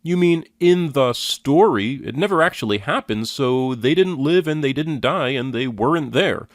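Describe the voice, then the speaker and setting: A man's voice: mid-range voice, expressive, normal speed, dry recording, studio quality. A man giving critical commentary in a skeptical, sardonic and challenging manner. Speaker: commentator reviewing and rebutting a lecture.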